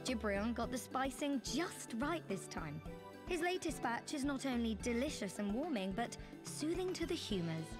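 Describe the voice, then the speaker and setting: A woman's voice: fairly high pitch, sing-song, moderate pace, music in the background. A woman voice-acting a genteel, refined lady in a fantasy game, with appreciative, formal phrasing. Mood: pleased.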